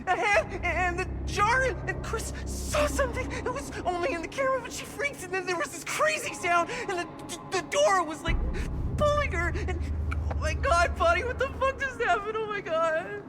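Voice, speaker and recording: Very high voice, terrified young game character, halting, urgent, tearful, loud music behind. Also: animated. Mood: panicked, shaken. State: panicked, near crying. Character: terrified, distraught young person recounting a friend's disappearance. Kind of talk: video game cutscene acting.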